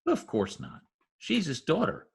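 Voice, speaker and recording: A man's voice: a little high, wildly swooping pitch, measured pace, creaky, background noise, exaggerated voice. A man playing an eager, mischievous teenage schoolboy in an old-time radio comedy, with an earnest, gushing manner and flowery words. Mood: gleefully scheming.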